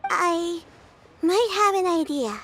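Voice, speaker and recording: A woman's voice: very high voice, animated, slow pace, a bit bright, exaggerated voice. A woman voicing a sweet, considerate young girl in a scripted video game scene. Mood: helpful, earnest.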